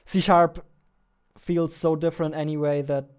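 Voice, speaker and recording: A man in his twenties talks casually, thinking aloud like a developer at his desk. His voice is fairly high for a man, clean and smooth. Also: flat delivery, a little slow, darkish tone. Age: 20s. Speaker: game developer, video host. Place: small indoor room at a computer.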